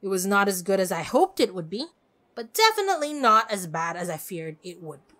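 A woman hosting a solo podcast, giving a scripted review in an expressive, opinionated way. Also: medium pitch, a little fast. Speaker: podcast host.

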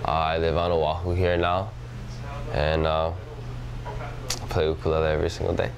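A man's voice: a bass voice, little pitch movement, moderate pace. A young man from Hawaii's Big Island, an ukulele player, answering interview questions in an easygoing way inside an ukulele shop. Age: young adult. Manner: easygoing, fond of "you know".

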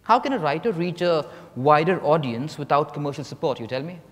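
A middle-aged man on stage playing a dignified novelist under interrogation, speaking in a measured, formal, defensive way. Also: a little high, animated, natural voice. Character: reserved, dignified male novelist being interrogated. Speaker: stage actor. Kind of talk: dramatized play reading on stage. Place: large hotel ballroom stage. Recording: echoey hall from far off.

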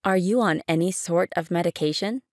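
A woman reading short English phrases for a language lesson, saying each one three times, slowly and clearly. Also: lowish voice, darkish tone, no room sound, clean studio sound. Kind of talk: slow repeated phrase reading.

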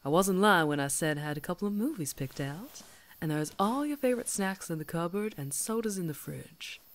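A woman playing a female werewolf in an intimate ASMR roleplay, predatory yet tender, coaxing in a breathy voice that often drops to whispers. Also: on the low side, animated, a little fast, lightly acted. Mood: affectionate, playful.

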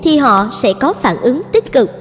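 A woman's voice: high-pitched, big pitch swings, a little slow. A woman narrator reading a scripted introduction. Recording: long reverb with music.

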